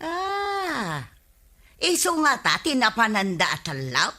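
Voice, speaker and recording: An actress playing a curious, gossipy village neighbour with an Ilocano Filipino accent, animated and inquisitive, in a radio comedy drama. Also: female voice, fairly high pitch, slow, heavily acted.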